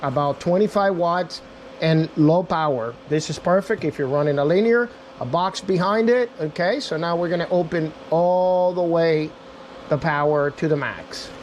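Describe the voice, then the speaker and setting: A man's voice: fairly high pitch, moderate pace, slight background noise. A man giving a casual, unscripted product demo in an informal way, talking straight to viewers as their reviewer. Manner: informal, addresses viewers as guys. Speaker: radio reviewer and demonstrator.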